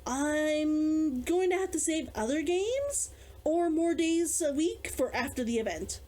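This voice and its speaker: A woman author hosting a read-along, chatting in an excitable, giggly way. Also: sits high, takes it slowly. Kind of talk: chatty intro and story reading.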